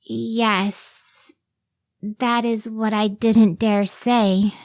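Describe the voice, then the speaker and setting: A woman acts a devoted, earnest scientist wife in an old-time radio play. Her voice is fairly high and close and dry, without room sound. She speaks carefully and with open feeling, modest about her work. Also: little pitch movement, very slow, a little mellow, no room sound. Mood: hesitant, awed. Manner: earnest, careful, emotive delivery.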